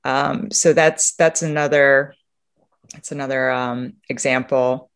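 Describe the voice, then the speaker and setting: Woman from romania chatting in an interview, thinks out loud, kinda halting, keeps rewording stuff. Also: extremely low pitch, steady tone, clear, resonant tone. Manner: reflective, halting, often rephrasing mid-sentence. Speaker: interview guest, sustainability leader. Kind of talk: conversational interview answers, telling travel anecdotes.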